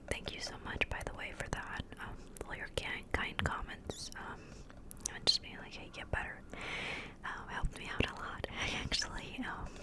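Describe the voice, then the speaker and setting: A woman whispering a rambling ASMR catch-up close to the mic, a little congested from a cold, with lots of fillers. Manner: rambling, many fillers and restarts. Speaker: ASMR creator. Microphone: close-up microphone.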